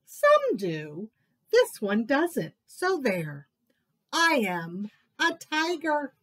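A woman's voice: high voice, wildly swooping pitch, drawn-out words, a bit bright, exaggerated voice. A woman voicing a stubborn boastful little mouse. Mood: stubborn boasting. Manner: stubborn, defiant. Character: small boastful mouse who insists he is a tiger. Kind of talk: picture-book read-aloud voice.

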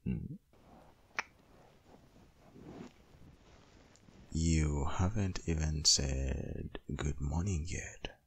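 A man playing a doting, protective, gently dominant boyfriend in an intimate ASMR roleplay, murmuring softly and coaxingly close to the microphone. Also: very deep voice, exaggerated voice. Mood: firm, tender. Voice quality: husky, gravelly, breathy.

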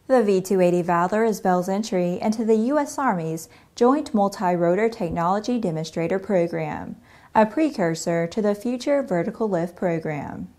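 A young woman anchoring a news show in a studio, reading a script in a steady, even newsreader delivery. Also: mid-range voice. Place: studio in front of a green screen.

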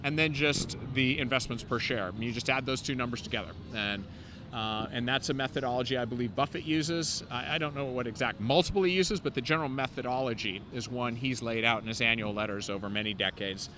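A man's voice: mid-range voice, fairly quick. Man explaining investing on a busy street. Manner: fluent and confident, many "um" fillers. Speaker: investment newsletter founder, interview guest. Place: city sidewalk outdoors, traffic passing. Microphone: handheld mic. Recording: outdoor street recording with clear traffic noise.

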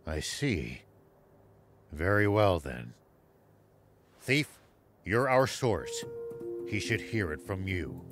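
Honorable old soldier for a game: stern, low, expressive, with faint music underneath. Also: male voice, takes it slowly, long pauses, exaggerated voice. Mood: grave, earnest. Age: older. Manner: grave, commanding. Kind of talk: English video game cutscene acting.

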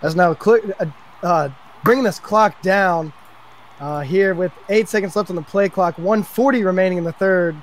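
A man giving play-by-play commentary on an online game match, calling the action quickly and excitedly. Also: high-pitched. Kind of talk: sports commentary.

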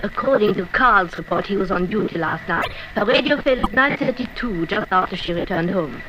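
An actress playing an efficient, deferential villain's secretary with a formal, dutiful manner, in 1960s radio thriller style. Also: female voice, mid-range voice, animated, a little fast, dull audio, natural voice. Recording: noisy damaged 1960s flexidisc recording.

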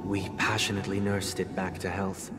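Man voicing a low, solemn young gentleman. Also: level tone, close to an everyday voice. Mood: solemn, composed. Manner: formal, measured, eloquent. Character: composed, solemn young gentleman leader, protective brother. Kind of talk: dramatic video game voice acting. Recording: long reverb, game music behind.

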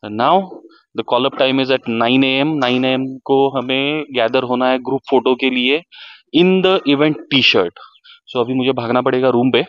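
A man's voice: medium pitch, a bit bright, dry recording. Man, Indian accent, thirties, casual vlog chat, arm's-length camera, slightly muffled sound. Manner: chatty, upbeat, talks straight to camera. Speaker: motovlogger host. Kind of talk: casual vlog chat mixing Hindi and English. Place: outdoors at a resort by a pool. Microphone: selfie camera at arm's length.

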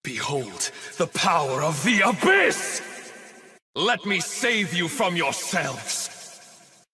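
Raspy fanatical dark sorcerer. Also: male voice, a little high, very animated, slow pace, exaggerated voice. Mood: menacing. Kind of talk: video game ultimate lines. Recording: reverberant game audio with music.

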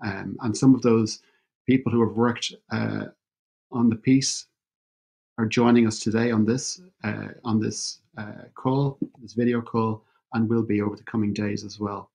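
Gravelly man hosting a video call. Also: fairly low pitch, steady tone, mellow. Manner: measured, with many fillers and restarts. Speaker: event host. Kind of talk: formal opening speech. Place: indoor room at home. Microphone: video call webcam.

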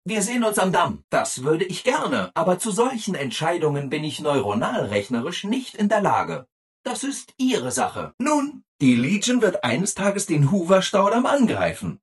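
A relentlessly upbeat video game robot assistant, eager to please at every turn. The delivery is chirpy and over-eager, with sing-song emphasis and big lively swings in pitch. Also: male voice, breathy edge, full character voice. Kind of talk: video game character dialogue.

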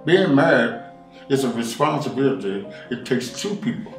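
A man's voice: mid-range voice, lively intonation, normal speed, distant mic, faint music behind. A man in his late sixties being interviewed for a documentary, speaking plainly and repeating his points. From Washington, D.C. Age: 60s. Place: living room on a leather couch. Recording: roomy sound from off-mic.

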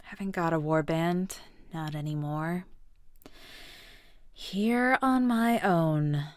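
A woman playing a gruff, jaded loner fighter in an audio drama, terse and surly. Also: sits low, slow pace, darkish tone, dry recording, studio quality.

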